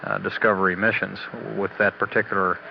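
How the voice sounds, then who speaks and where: A man giving a halting, matter-of-fact technical status briefing as a spaceflight mission commentator, on old radio audio. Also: low voice, flat delivery, creaky. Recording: dull, noisy 1980s mission radio audio.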